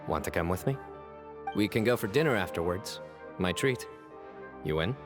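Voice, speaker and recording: An actor playing a composed, dryly wry young man in a video game, measured and matter-of-fact. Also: low voice, animated, over music. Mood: calm.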